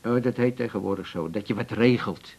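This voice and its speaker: An actor playing a jovial older man in a Dutch radio drama, folksy and persuasive, with a gravelly, husky voice. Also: fairly low pitch, brisk, a little mellow, highs cut off. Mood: coaxing. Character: jovial, coaxing older man courting a companion.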